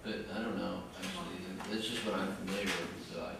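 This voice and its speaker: Low-voiced male student, tentative, heard distantly in an echoey classroom. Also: fairly even intonation, a little fast, gravelly. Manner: hesitant, many fillers and restarts. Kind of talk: classroom discussion. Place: lecture classroom. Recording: echoey classroom from far off, noisy.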